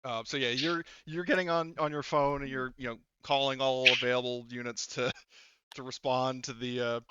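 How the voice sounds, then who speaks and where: A man's voice: mid-range voice, steady tone, moderate pace, pushed and bright, muffled recording, a little hiss or hum. A man acting as game master, narrating a tabletop roleplaying session in a casual, informal way with frequent chuckles.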